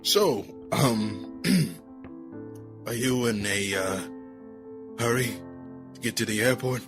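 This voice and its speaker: A man's voice: medium pitch, slow, over music. An actor playing an earnest, hesitant, devoted man in love in an intimate audio drama. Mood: shy, hesitant.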